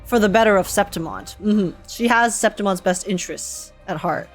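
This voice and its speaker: A woman's voice: mid-range voice, normal speed. A woman streamer giving casual, giggly, informal live reactions to a game's story. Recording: game music behind the voice.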